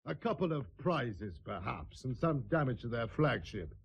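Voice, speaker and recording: An actor playing a dry, sceptical naval captain, measured and formally deferential, in a radio drama. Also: male voice, medium pitch, sing-song, creaky, slightly rough, dull audio, full character voice.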